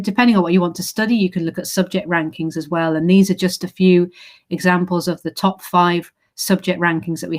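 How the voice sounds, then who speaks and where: A woman with an English accent from England, giving an informal presentation to prospective students as a university recruitment manager, explaining in a friendly way with frequent "um" fillers, on a video call. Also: on the low side.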